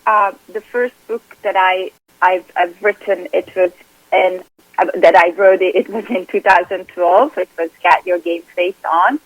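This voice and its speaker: A woman with a Hungarian accent and a fairly low voice talks about her work as a performance coach. She is earnest and often repeats words as she restarts a thought. The recording is dry and close, with no room sound. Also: steady tone, a little slow, no echo, muffled recording. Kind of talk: interview guest.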